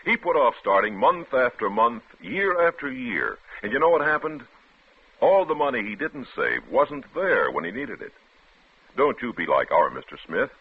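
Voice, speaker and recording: A man announcing an old-time radio public service ad like an emphatic storytelling pitchman. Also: big pitch swings, normal speed, husky. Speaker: commercial announcer. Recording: tinny, band-limited old radio recording.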